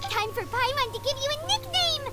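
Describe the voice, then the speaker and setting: A woman voicing a tiny, cheeky, childlike fairy companion in a video game, with a squeaky, perky delivery. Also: expressive, fairly quick. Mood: cheerful, teasing. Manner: perky, speaks of herself in third person. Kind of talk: scripted video game voice acting. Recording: loud game music behind the voice.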